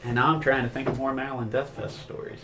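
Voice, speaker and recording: A man's voice: medium pitch, little pitch movement, fast, noisy background. A man telling casual anecdotes among friends in a rambling, profane, incredulous way. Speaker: storyteller.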